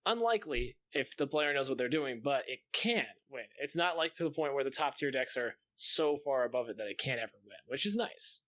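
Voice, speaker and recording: A man's voice: mid-range voice, lively intonation, a little fast, few pauses, a bit bright, no room sound. Man chatting casually, dry close sound. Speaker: gaming podcast host. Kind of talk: casual gaming chat.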